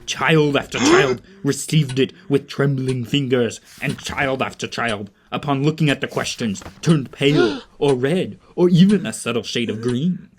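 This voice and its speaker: A man's voice: medium pitch, noisy background. A man narrating an audio drama in a scripted storybook style.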